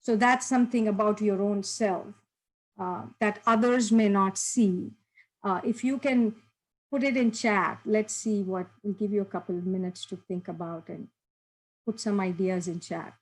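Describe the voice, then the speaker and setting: A woman's voice: medium pitch, steady tone, moderate pace, poor audio. A woman from India leading an online workshop, talking to participants in an encouraging way and laughing often over a video call. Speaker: workshop presenter.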